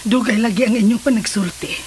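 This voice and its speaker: Woman acting concerned, high-voiced Cebuano mother. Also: quick pace, loud music behind the voice, lightly acted. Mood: concerned, probing. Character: concerned, questioning mother of a grown daughter. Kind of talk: Filipino radio drama acting. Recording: noisy, hissy radio drama recording.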